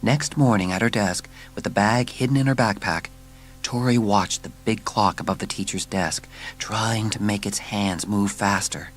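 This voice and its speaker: A man's voice: fairly low pitch, moderate pace. A man narrating a scripted children's story as an audiobook narrator.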